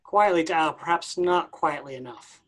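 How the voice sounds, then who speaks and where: A woman's voice: sits low, normal speed. A woman giving scripted narration, reading out the stage directions of a play.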